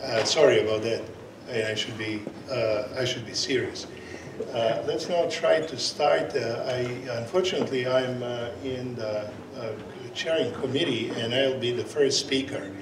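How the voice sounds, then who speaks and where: Serbian-accented man chairing in an echoey hall. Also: medium pitch. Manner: halting, many fillers and restarts. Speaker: session chair and conference speaker. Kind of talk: conference presentation. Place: conference hall. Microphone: portable microphone. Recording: echoey hall, from far off, noisy.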